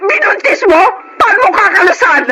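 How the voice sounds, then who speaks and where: A woman's voice: very high voice, sing-song, normal speed, pushed and bright, full character voice. A woman with a Filipino accent acting a long-suffering, betrayed wife in an emotional Tagalog radio drama. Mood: anguished, accusing. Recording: noisy radio drama recording.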